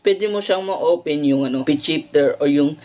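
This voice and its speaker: A man's voice: a little high, normal speed, a little mellow. Man in a casual vlog. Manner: conversational, frequent "no?" tags. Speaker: guitar effects vlogger. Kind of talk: casual vlog demo, Tagalog mixed with English. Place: indoor home studio by a window.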